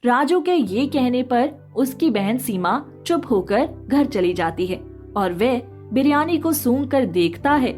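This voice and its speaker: A woman's voice: on the high side, animated, measured pace, darkish tone. Woman narrating a story over music. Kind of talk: scripted narration of a moral story. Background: background music.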